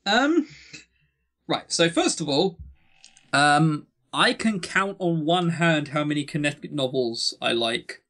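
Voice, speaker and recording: A man's voice: fairly high pitch, animated, takes it slowly, sharp tone. A British man co-hosting a podcast in casual chat, blunt, sarcastic and prone to swearing. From Britain. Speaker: podcast co-host.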